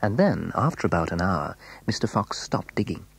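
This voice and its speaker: Dramatic kids story narrator, deepish husky voice, builds suspense. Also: male voice, moderate pace, exaggerated voice. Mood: suspenseful. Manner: suspenseful, expressive. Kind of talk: scripted children's story narration.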